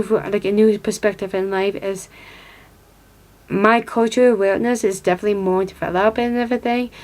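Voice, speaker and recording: A young American woman in her twenties talks casually to her viewers, rambling and piling up fillers as she loses and finds her thread. Her voice sits at an ordinary middle pitch, and she glances down at notes as she goes. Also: rough recording. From upstate New York, United States. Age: 20s. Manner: rambling, many fillers and restarts; reads from notes, looking down. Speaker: vlogger. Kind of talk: casual talk to camera.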